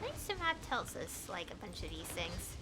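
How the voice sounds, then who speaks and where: A woman's voice: fairly low pitch, huge pitch swings, moderate pace, background noise. A young woman chatting casually as she streams a game, rambling and hesitantly thinking aloud. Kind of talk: casual live gameplay chat. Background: game music.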